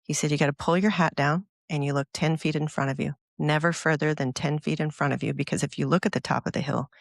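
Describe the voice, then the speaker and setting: This is a woman hosting an interview, with a gravelly texture to her voice. She speaks in a conversational, encouraging way, and the sound is dry and close to the mic. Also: deep voice, steady tone, fast, no room sound. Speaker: interview host.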